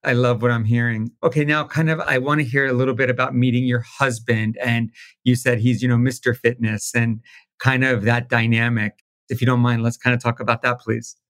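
A man's voice: medium pitch, little pitch movement, brisk, a bit bright. A man co-hosting a podcast, asking curious, chatty questions full of filler words. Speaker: podcast co-host. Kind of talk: casual interview.

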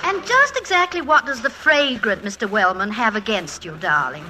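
Bright, refined teasing wife. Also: female voice, on the high side, expressive, moderate pace. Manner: playful, theatrical, refined. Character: poised, teasing, affectionate wife, former London stage actress. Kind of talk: 1940s radio comedy-drama acting. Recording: noisy 1940s radio recording.

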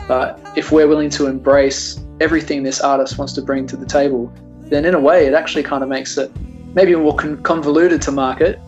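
A man speaking fluently in an interview, conversational and full of fillers like "you know" and "like.". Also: mid-range voice. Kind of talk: interview answer. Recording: slightly dull, music underneath.

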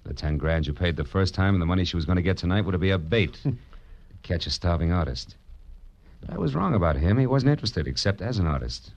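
An American actor playing a tough, sardonic private detective in a vintage noir radio drama, with clipped, punchy delivery. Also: male voice, low-pitched, animated, fast, a little mellow, no echo. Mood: weary, matter-of-fact. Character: hard-boiled wisecracking male private detective narrating his case. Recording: dull vintage radio recording with music.

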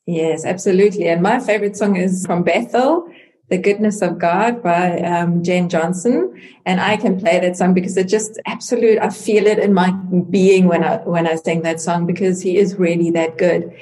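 A woman's voice: fairly low pitch, steady tone, normal speed, dark tone. A middle-aged woman from South Africa whose first language is Afrikaans, talking earnestly about her faith as a guest in an interview and laughing easily.

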